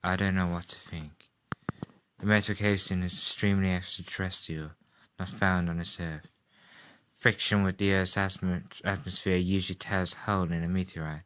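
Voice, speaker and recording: Man acting a low, quiet professor. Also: fairly even intonation, moderate pace, smooth. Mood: puzzled. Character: measured, puzzled male professor, scientific expert. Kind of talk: old radio play dialogue.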